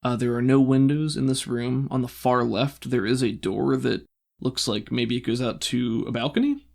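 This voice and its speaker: Male game master, mid-pitched, improvised scene-setting with hesitant word searches. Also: steady tone, moderate pace, creaky, darkish tone. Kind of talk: narrating a tabletop roleplaying game.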